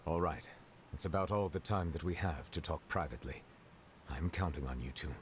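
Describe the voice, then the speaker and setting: A very low-voiced, stately male judge from a video game. He is formal, reserved and deliberate, with faint noise behind him. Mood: businesslike. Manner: formal, deliberate, courtly wording. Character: dignified, reserved male chief justice, measured and formal.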